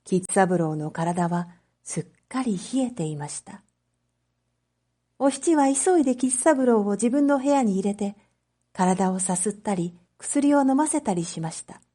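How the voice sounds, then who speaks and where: A woman narrating a scripted story as a teacher for language learners, reading carefully in clear phrases. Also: medium pitch, animated, unhurried, mellow. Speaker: teacher narrating read-aloud material.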